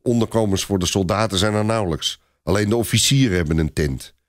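A man's voice: lowish voice, fast talker, no echo, studio quality, natural voice. A man voicing a worried, discontented but loyal medieval herald in a radio story. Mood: discontented grumbling. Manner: grumbling.

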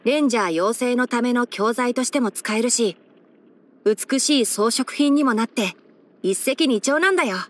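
A woman voicing a clever, gentle young male fox-eared ranger chief in a video game, with a light boyish tone and measured, softly wry, articulate delivery. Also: fairly high pitch, lightly acted. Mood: reflective, gentle. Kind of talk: Japanese game character voice-over.

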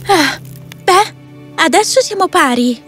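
A woman's voice: very high voice, animated, takes it slowly, fairly bright tone, soft background music, exaggerated voice. A woman playing a brave, friendly young princess in a children's fairy tale, with a lilting, eager delivery.

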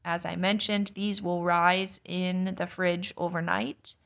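A young woman narrates her homestead kitchen projects in a relaxed, chatty voice-over. Her voice sits a little low for a woman, and she explains each step plainly, like a busy mom talking to friends. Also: slow, creaky. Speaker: homestead vlogger, mother. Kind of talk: casual cooking vlog voice-over.

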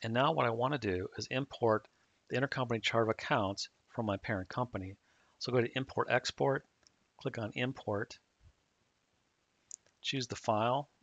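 A man calmly explaining software setup step by step in a screen-recorded tutorial. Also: medium pitch, normal speed, pauses often, no room sound. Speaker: software trainer. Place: at a computer, voice-over on a screen recording. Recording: dull dry mic audio.

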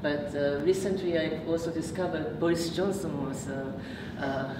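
A woman's voice: deep voice, steady tone, moderate pace. A woman, giving a public talk as a researcher, hesitant with many fillers and restarts. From Japan. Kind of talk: public lecture with slides. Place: meeting room. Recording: echoey room from far off, noisy.

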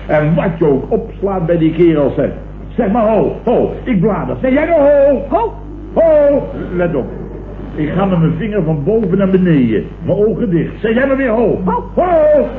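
A self-satisfied, blustering male boss in a 1960s radio comedy. He speaks in a fairly high voice, stammering and repeating himself pompously. The recording is echoey and narrow, with clear noise. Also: big pitch swings, measured pace. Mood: pompous enthusiasm. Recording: muffled, echoey, hissy vintage radio recording.

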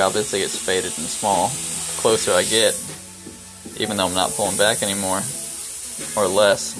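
A young man with a very low voice talks through airbrush technique as he paints, relaxed and unscripted, with ums between thoughts. Music plays clearly behind him along with the hiss of the airbrush, picked up by a head-mounted camera mic in a small studio. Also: low-quality recording. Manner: relaxed explaining, frequent ums, talks while working. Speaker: airbrush artist. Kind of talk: casual live-stream tutorial while painting. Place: small brick-walled studio, indoors. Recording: music playing under the voice. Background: music playing, airbrush hiss.

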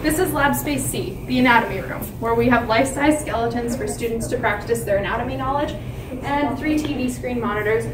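A young woman student guiding a tour of a veterinary teaching clinic in a steady, scripted, informative way. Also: fairly high pitch, brisk. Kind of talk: guided facility tour. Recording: echoey room, noisy background.

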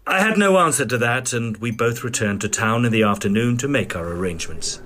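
An actor playing a polite, fussy Edwardian gentleman ghost hunter in an audio drama, with an English accent and a formal, slightly hesitant manner. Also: medium pitch, expressive, fairly quick, slight background noise. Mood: wry narrating. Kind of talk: audio drama acting and first-person narration.

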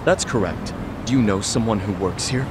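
A man's voice: lowish voice, normal speed, noisy background, close to an everyday voice. Actor as a formal middle-aged club doorman. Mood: polite, firm. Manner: formal, firm. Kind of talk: video game acting.

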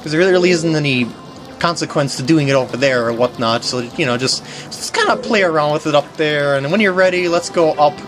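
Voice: mid-range voice, a little fast, music in the background. A game walkthrough host giving casual, rambling commentary with mock exasperation.

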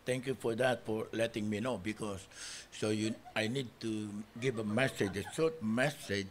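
A man's voice: fairly low pitch, normal speed, a little hiss or hum. A middle-aged man, preaching informally as a guest pastor in a rambling, anecdotal way. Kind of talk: informal sermon opening with anecdotes. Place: small church hall, at pulpit. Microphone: pulpit microphone.

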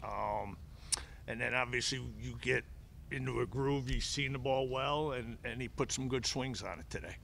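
A man's voice: medium pitch, moderate pace, gravelly, a bit bright, slight background noise. A middle-aged man, a head baseball coach, answering press conference questions at a table mic, often restarting and repeating words. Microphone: table mic in front of him.

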